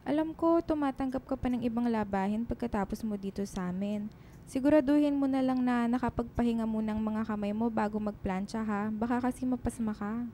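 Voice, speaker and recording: An actress playing a kind, considerate Filipino household employer in a radio drama, friendly and caring in manner. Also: female voice, a little high, brisk, mellow, a little hiss or hum, lightly acted.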